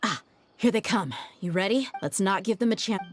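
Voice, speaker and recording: A woman's voice: mid-range voice, animated, normal speed, close to an everyday voice. An actress playing a tough, cool-headed young mercenary woman in a video game, confident, blunt and sardonic. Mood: alert. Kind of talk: English video game voice acting. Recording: music behind the voice.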